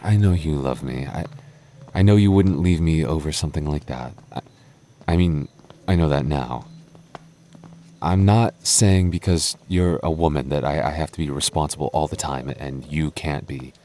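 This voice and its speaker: A man's voice: rumbling low, normal speed, mellow, a little hiss or hum. A man playing a stammering goth boyfriend. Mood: vulnerable. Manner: stammering, repeating words. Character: nervous young goth boyfriend, tender and protective. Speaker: voice actor. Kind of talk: ASMR boyfriend roleplay.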